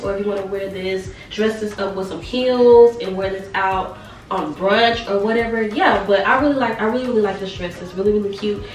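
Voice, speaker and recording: A young woman in her twenties chatting casually through a clothing try-on, her voice a little raspy from a sore throat, repeating words for emphasis. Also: medium pitch. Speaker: fashion vlogger. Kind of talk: casual try-on haul chat. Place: small bright bedroom. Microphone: camera mic a few steps away. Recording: roomy sound from a distant camera.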